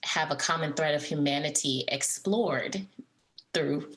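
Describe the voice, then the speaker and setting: A young woman from Texas, a Broadway actress, talking earnestly and brightly in an interview, laughing often. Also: low-pitched, unhurried, rough recording. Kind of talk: casual interview chat.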